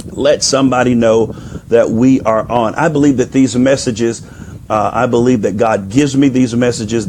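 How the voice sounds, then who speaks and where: A man speaking as a life coach and minister, preaching on a live stream into a microphone. His voice is hoarse and nearly gone, and he repeats phrases as he urges listeners on. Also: medium pitch, darkish tone, a little hiss or hum. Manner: repeats phrases for emphasis, urging and exhorting. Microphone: microphone, put on partway through.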